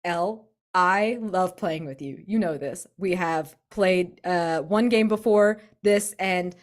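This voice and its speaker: A woman's voice: fairly low pitch, slow pace. Gushing, effusive woman chatting casually. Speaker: tabletop role-playing game player. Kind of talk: casual post-game chat.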